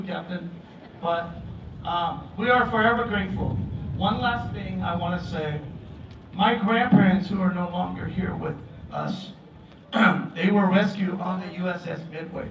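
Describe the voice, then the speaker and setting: A man in his fifties who grew up in Vietnam and speaks Vietnamese as his first language, giving an earnest, grateful speech at a memorial ceremony. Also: high voice. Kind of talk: prepared public speech. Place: aboard a museum ship, outdoors. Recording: echoey, distant, dull PA sound, noisy.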